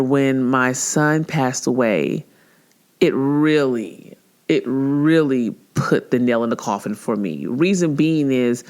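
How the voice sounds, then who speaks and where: A woman in her forties hosting her own podcast in a casual monologue, chatty and animated, and often jumping much higher in pitch when she acts out something someone said. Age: 40s. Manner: laughs easily, with frequent filler words and restarts. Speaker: podcast host.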